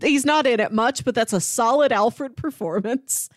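A woman's voice: a little high, normal speed, no echo, clean studio sound. A woman co-hosting a podcast in casual discussion, explaining things enthusiastically with plenty of "like" in her phrasing. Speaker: podcast co-host. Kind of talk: casual comic book discussion.

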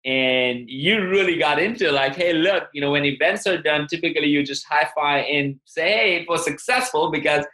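Male podcast host: bright, forceful, slightly high voice, emphatic and conversational, recorded in an echoey room. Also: moderate pace, few pauses. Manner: emphatic, acts out quoted remarks. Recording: echoey, reverberant room sound.

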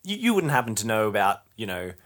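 A man's voice: fairly low pitch, very animated, quick pace, a bit bright. A man playing a sensible, wary captive adventurer who talks with hesitant politeness and dry skepticism in a tabletop game. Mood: polite, uneasy.

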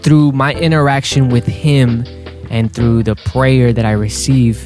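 A man's voice: medium pitch, steady tone, a little mellow. Earnest male podcast host over guitar. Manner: earnest, halting with many fillers and restarts. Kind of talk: casual podcast intro. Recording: clear guitar music underneath.

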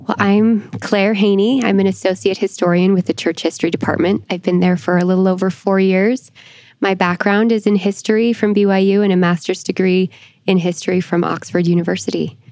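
A young woman, a historian, speaks with earnest care, often pausing on fillers. Her pitch sits at an ordinary middle, and the recording is dry and close. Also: steady tone, a little mellow, dry recording. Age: young adult. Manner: earnest, careful, many fillers. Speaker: historian, guest. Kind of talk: interview conversation.

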